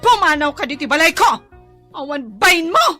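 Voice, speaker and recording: An actress with an Ilocano accent playing a furious wife who scolds and shrieks tearfully in a comedy radio drama. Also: female voice, extremely high pitch, expressive, moderate pace, sharp tone, soft background music, heavily acted.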